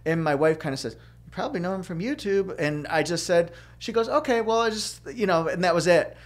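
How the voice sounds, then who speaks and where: A man's voice: high-pitched, animated, fairly quick. A middle-aged man with a young-sounding voice, hosting a podcast and telling rambling stories full of fillers and restarts. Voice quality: youthful-sounding voice. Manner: chatty storyteller with many fillers and restarts. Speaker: podcast host. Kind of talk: casual chat.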